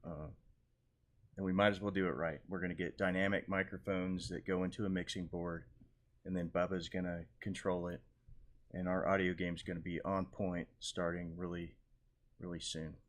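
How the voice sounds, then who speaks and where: Very deep-voiced male host. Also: normal speed. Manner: relaxed, rambling with fillers. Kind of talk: casual live show chat.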